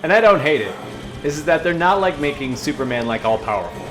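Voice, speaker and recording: A man hosting a reaction video, chatting casually and musingly in halting asides as he comments on a cartoon. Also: on the high side, very animated, music in the background.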